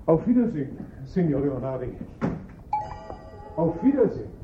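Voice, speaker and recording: An actor playing a polite, excitable Italian art dealer in a German radio drama, courteous and fussy in manner. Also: male voice, fairly high pitch, moderate pace, music in the background. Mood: taken aback, businesslike. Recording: narrow, tinny old radio recording.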